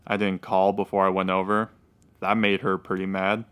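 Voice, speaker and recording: A man's voice: deep voice, level tone, normal speed, fairly bright tone, smooth. A man playing a dejected, lovesick suspect under questioning in a radio drama, plainspoken and unsure. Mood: earnest, aggrieved.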